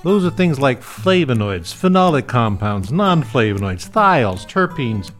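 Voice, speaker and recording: A man's voice: medium pitch, very animated, unhurried. Male podcast host over guitar music. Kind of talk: scripted science narration. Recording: music behind the voice.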